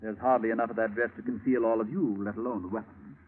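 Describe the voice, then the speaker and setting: A man's voice: fairly low pitch, steady tone, quick pace, breathy edge. A man acting a suave, smug, corrupt British police chief in a 1940s radio drama, mocking and elaborately polite. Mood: gloating, mocking. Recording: tinny, narrow-band vintage radio recording.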